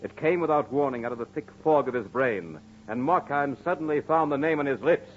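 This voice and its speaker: A man's voice: mid-range voice, brisk, slight background noise. A man narrating a suspense story in a brooding, theatrical 1940s radio style. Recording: dull lo-fi 1940s radio recording.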